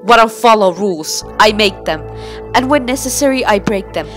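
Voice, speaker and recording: A woman with a Finnish accent dubbing a sharp, scheming rich teenage girl in a confident, cutting manner. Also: mid-range voice, lively intonation, moderate pace, soft background music, lightly acted. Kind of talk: fan dub over a teen drama.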